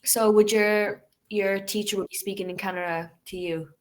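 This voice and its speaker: A woman's voice: medium pitch, steady tone, normal speed. A woman tutoring English over a video call, asking short questions and prompting her student. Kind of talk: casual conversation practice.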